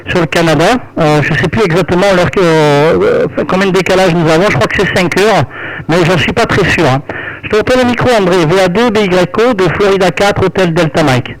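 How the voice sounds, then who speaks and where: Higher-pitched Frenchman chatting on ham radio. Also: low-quality recording. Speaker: amateur radio operator. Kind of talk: casual ham radio conversation. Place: at home at night.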